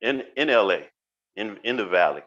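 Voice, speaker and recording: A man from New York hosting a casual livestream chat, telling stories in a relaxed, rambling way with many fillers. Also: lowish voice, normal speed, no echo, highs cut off. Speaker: host.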